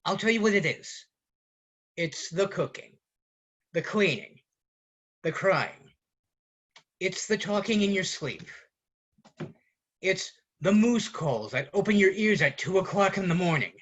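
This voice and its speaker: A man playing an exasperated roommate ranting at his fussy friend in a deliberate theatrical monologue with dramatic pauses. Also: high voice, animated, a bit bright, lo-fi sound, full character voice. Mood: exasperated, irritated. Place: online video call performance.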